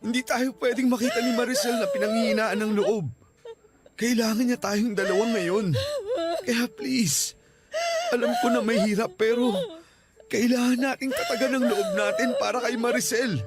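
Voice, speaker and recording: A man's voice: extremely high pitch, expressive, normal speed. A man playing a steadfast, consoling father holding back grief in a radio drama, earnest and pleading. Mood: pleading, strained. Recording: drama under loud background music.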